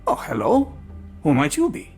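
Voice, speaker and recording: A man playing a befuddled, forgetful former professor in a video game, politely hesitant, with a husky, creaky voice. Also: on the high side, wildly swooping pitch, normal speed, music in the background, exaggerated voice. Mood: polite, lost. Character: befuddled, amnesiac asylum patient, a former professor.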